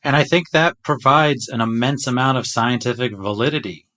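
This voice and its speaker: A middle-aged man hosts a podcast conversation in an earnest, reflective tone. His voice sits at an ordinary pitch and he talks casually with his guest. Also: normal speed, bright, forceful tone. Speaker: podcast host.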